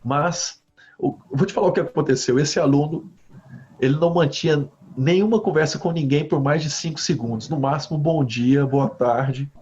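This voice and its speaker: A man from Brazil, a university professor, answering interview questions with animated stories, often ending phrases with a questioning tag. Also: a little high, normal speed. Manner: animated storytelling with frequent "né?" tags. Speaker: guest professor. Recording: slightly dull lo-fi audio.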